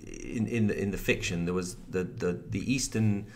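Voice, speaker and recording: A man with a soft West Country accent and a very low voice, chatting about a game he designed. He explains mechanics in loose, explanatory runs full of restarts, with faint room noise behind him. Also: normal speed. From partly Devon, England. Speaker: creative director and game designer guest. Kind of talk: casual chat while streaming a game.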